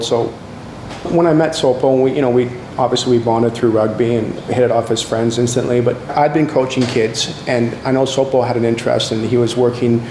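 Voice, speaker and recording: Relaxed man in a roomy space. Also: medium pitch, a little hiss or hum. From Vancouver, Canada. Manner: relaxed, conversational, with "um" and restarts. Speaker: sportswear business owner and charity partner. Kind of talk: interview testimony. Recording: roomy echo.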